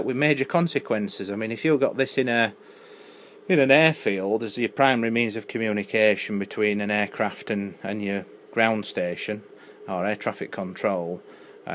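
A man giving an unscripted, matter-of-fact technical demonstration as a repair technician at a workbench. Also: lowish voice. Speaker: electronics repair technician presenting. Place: indoor electronics workbench. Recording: noisy handheld camera audio in workshop. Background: clear background noise.

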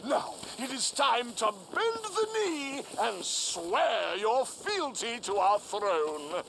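A man's voice: extremely high pitch, sing-song, takes it slowly, vocal fry, bright, forceful tone, slight background noise, heavily acted, rough recording. A man playing a pompous mock king in a video game, speaking in grand, theatrical regal phrases. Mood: regal, grandiose. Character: pompous Dust King of a live-action roleplay realm. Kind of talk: video game character acting.

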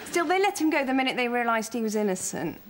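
An actress playing a naive, cheerful young village verger with an English accent, earnest and guileless in a sitcom. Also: female voice, a little high, sing-song, fast. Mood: innocent, chatty. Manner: earnest, guileless, wandering into literal asides. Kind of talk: British sitcom acting before a studio audience. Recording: noisy sitcom soundtrack with studio audience.

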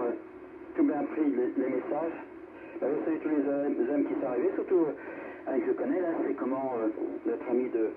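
A man chatting in a rambling, chummy way as an amateur radio operator, heard over the air. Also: mid-range voice. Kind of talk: casual radio chat. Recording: noisy narrow-band radio reception.

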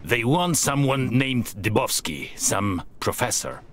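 A man playing a plain-spoken, quietly resolute villager in a video game. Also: medium pitch, sing-song, unhurried. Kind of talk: cinematic video game acting.

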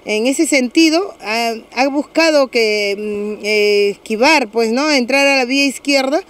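A middle-aged woman with a Peruvian accent, a municipal security official giving a measured, official statement to reporters outdoors on a street, hesitating with fillers and restarts. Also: sits high, fairly bright tone. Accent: Peruvian Spanish. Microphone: several handheld press mics.

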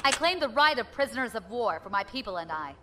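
An actress playing a wry, defiant space pirate leader with a flippant, confident manner in an audio drama. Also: female voice, a little high, sing-song, fast, noisy background.

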